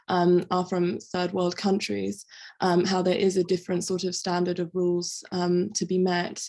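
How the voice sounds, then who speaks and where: A woman's voice: lowish voice, mellow. A young woman, a student, asking a long, winding question with many hesitant fillers. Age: young adult. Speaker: student interviewer.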